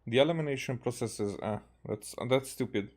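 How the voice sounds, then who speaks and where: A man from Hungary with a Hungarian accent, a native Hungarian speaker, giving casual, halting game commentary as he thinks aloud. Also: mid-range voice, a little mellow. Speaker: game streamer.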